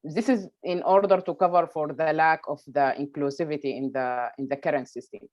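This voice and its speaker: A woman from Sudan giving unscripted panel remarks in halting, hesitant phrases. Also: deep voice, steady tone, a little mellow. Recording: dull, lo-fi video call audio.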